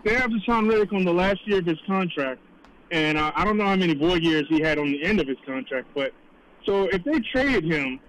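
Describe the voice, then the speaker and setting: A man phoning in to a sports show with a casual, haltingly worded question over a slightly noisy line. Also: high voice. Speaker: caller. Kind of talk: casual radio call-in question. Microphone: phone call. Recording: faint noise on call-in line.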